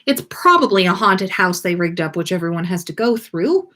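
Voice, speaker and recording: A woman's voice: on the low side, big pitch swings, normal speed, bright, forceful tone. A woman voicing a smitten, good-humoured young wizard man in a story, easygoing and slightly awkward. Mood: reasoning.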